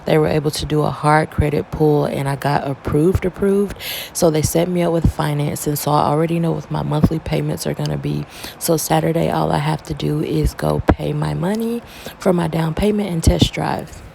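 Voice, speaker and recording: Sick texas girl, raspy stuffy voice, low and crackly, noisy phone audio. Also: fairly even intonation, normal speed, dark tone. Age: 20s. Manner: chatty, addresses viewers directly. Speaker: vlogger. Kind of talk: casual vlog update. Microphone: phone camera.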